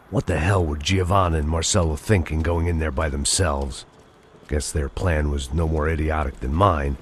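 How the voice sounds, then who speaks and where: An actor playing a world-weary, middle-aged American action hero in a video game, with a wry, fatalistic deadpan delivery. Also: male voice, very deep voice. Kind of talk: noir scripted narration and cinematic game dialogue. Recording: loud music and noisy ambience behind. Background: loud music, ambient noise.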